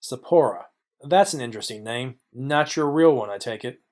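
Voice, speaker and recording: A man's voice: mid-range voice, moderate pace, close to an everyday voice. A man playing a dry, wry, hardboiled private detective, narrating and questioning in film noir style. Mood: dry, probing. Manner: deadpan, wry.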